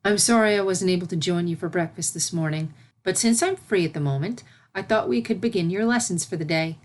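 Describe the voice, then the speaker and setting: A woman's voice: lowish voice, fairly quick, natural voice. A woman voicing a patient, regal winged unicorn princess and teacher in a story reading, composed and polite. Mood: calm, kindly.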